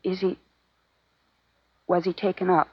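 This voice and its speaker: A woman's voice: lowish voice, little pitch movement, measured pace, slightly rough, dry recording. An actress in a 1950s radio drama playing an earnest, stubborn young woman, a scientist's grieving daughter. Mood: grieving, insistent. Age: young adult. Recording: muffled, dry vintage radio broadcast.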